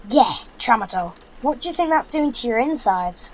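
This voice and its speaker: A man's voice: very high-pitched, animated, normal speed, fairly bright tone, a little hiss or hum, rough recording. A young man playing a sarcastic, exasperated fifteen-year-old son in an audio drama, with a Yorkshire accent and blunt delivery. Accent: Yorkshire English. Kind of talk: naturalistic audio drama acting.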